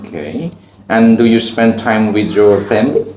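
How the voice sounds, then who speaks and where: A man's voice: on the low side, normal speed, a little mellow, a little hiss or hum. Man, echoey interview. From Cambodia. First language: Khmer. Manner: simple broken English, answers each reply with "okay". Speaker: teacher and channel host. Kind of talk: classroom question-and-answer video. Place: school campus. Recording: echoey, with faint background music.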